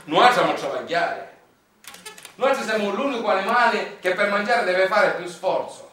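A man's voice: high-pitched, animated, unhurried, pushed and bright, full character voice. An actor playing a wry, impassioned working-class Sicilian father in a theatrical monologue, declamatory and colloquial, with a Sicilian accent. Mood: declamatory, sardonic. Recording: echoey distant stage recording with music.

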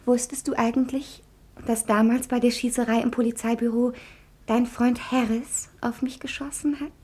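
An actress playing a brave, devoted young daughter in a 1950s radio play, wistful and theatrical, with breathy delivery. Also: female voice, fairly high pitch, little pitch movement, moderate pace, a little mellow, slight background noise, close to an everyday voice. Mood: brave, resolute.

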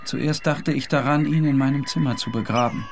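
A man playing a disturbed, morbid painter who narrates in a brooding, deliberate literary monologue. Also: medium pitch, lightly acted. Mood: haunted, brooding.

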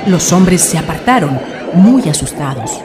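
A woman's voice: on the low side, very animated, a little slow, crowd noise, noisy background. A woman narrating a scripted radio drama as storyteller.

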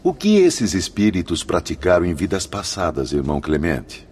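A very deep, husky male spirit pupil speaks humbly in a radio drama. His troubled delivery swings widely in tone. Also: wildly swooping pitch, moderate pace, darkish tone, full character voice. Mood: humble, troubled.

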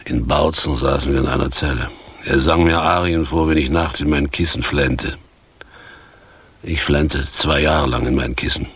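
An actor playing a bitter, boastful down-and-out former stage actor in a German radio play, with a theatrical, sardonic, crude manner and a gravelly voice. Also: male voice, steady tone, moderate pace, fairly bright tone, no echo, full character voice. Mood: bitter reminiscing. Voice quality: very deep, gravelly, husky.

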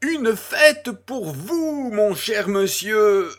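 An actor playing an urbane, grandiose baron, florid, courteous and self-assured, in a French audio drama. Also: male voice, high voice, big pitch swings, extremely slow, a bit bright, heavily acted. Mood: grand, enthusiastic.

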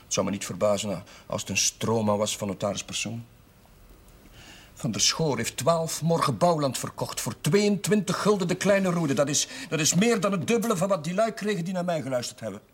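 An actor playing a troubled, idealistic young village priest in a television period drama, speaking Dutch in an earnest, brooding way. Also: male voice, a little high, animated, fairly quick, breathy edge. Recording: noisy old television soundtrack.

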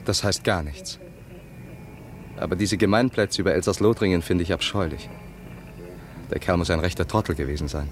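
An actor playing an opinionated, intense intellectual who scorns convention, speaking formally and emphatically in a radio drama. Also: male voice, sits low, moderate pace, long pauses, close to an everyday voice. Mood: scornful. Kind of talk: German radio drama dialogue. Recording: loud outdoor street and traffic noise.

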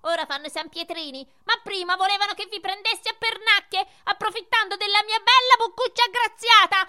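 A woman's voice: extremely high pitch, a little fast, pushed and bright, full character voice. A woman playing a cheeky, whiny little boy made of marble in a radio play, with exaggerated, insolent delivery. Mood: pleading, whiny. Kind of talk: theatrical radio play acting.

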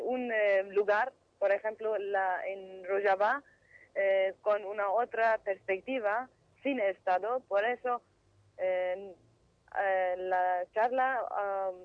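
Halting woman on a dull phone line. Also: mid-range voice, no echo. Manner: hesitant, halting non-native speech, slips into English. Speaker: interview guest, activist. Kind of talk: halting radio interview over the phone.

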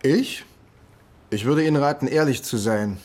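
An actor playing a pushy, easily flustered police detective in a naturalistic German radio drama. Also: male voice, medium pitch, moderate pace, darkish tone, natural voice. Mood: smooth, courting.

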